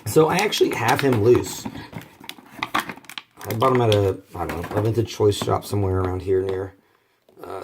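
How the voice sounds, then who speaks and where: A hoarse man, rambling casually through a toy collector's unboxing video. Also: on the low side, a little mellow, a little hiss or hum. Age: 30s. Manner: rambling, many fillers and restarts. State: hoarse from bronchitis.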